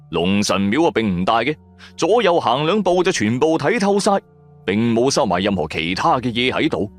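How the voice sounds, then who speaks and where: A man narrating a first-person horror story for radio in a suspenseful, colloquial manner. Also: a little high. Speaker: audio drama narrator. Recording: music under the voice. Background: background music.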